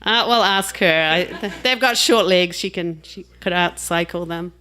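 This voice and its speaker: A woman's voice: on the low side, normal speed, sharp tone. A woman with a New Zealand accent giving a chatty, jokey introduction at a live reading. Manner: chatty and jokey, laughing between remarks. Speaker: host introducing a reader.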